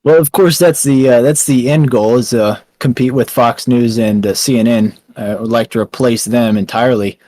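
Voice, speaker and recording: A man's voice: mid-range voice, steady tone, normal speed, few pauses, mellow, dry recording. A young man with a Texan accent, answering interview questions with many ums and restarts. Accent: Texan American. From Texas, USA. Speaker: founder and writer of a small news site.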